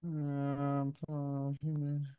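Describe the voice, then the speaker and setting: A man's voice: a little high, monotone, drawn-out words, clear, resonant tone, no echo, muffled recording, soft background music. A man speaking as a college professor, giving an informal, encouraging lecture to his class in casual, slangy language.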